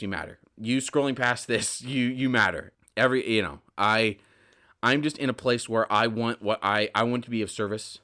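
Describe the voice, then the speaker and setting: A man's voice: on the low side, a bit bright. A man hosting a podcast, speaking casually and reflectively in an earnest, motivational way with emphatic repetition. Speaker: podcast host.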